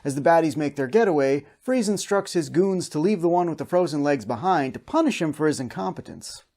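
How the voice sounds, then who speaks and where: A male review show host with a moderately high voice. He reads scripted recap narration in a comedic style, addressing the audience as a presenter. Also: lively intonation, brisk, mellow.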